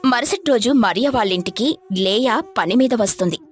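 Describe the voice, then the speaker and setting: Female narrator for moral tales: high voice, scripted storytelling, quiet music bed. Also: expressive, normal speed.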